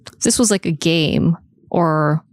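A woman's voice: low voice, takes it slowly, no room sound. A woman co-hosting a podcast in casual conversation. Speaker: podcast co-host. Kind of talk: casual chat.

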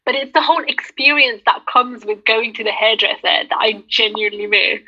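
A young woman chatting casually in an animated way, acting out little bits of remembered talk. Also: a little high, fairly quick. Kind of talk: casual chat. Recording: dull lo-fi sound.

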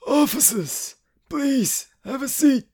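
Someone acting an older guy boss, grave and formal, real slow n husky, swings up and down, fantasy radio drama. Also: high voice, expressive, a little mellow, exaggerated voice. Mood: composed. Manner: formal, deliberate, pausing between phrases. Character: grave, measured older male guardsmith boss.

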